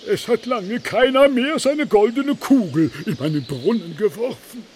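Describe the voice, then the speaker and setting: Pompous high-pitched frog king. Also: animated, unhurried, a little mellow, slight background noise. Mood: courtly. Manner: pompous, formal courtly speech. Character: talking frog king, courtly, haughty, bargaining suitor. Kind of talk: children's radio play acting.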